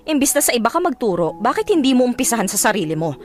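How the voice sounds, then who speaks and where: An actress playing a fed-up, resentful married sister-in-law with a Filipino accent, scolding and sarcastic in a radio drama. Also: female voice, fairly high pitch, sing-song, racing. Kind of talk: Tagalog radio drama acting.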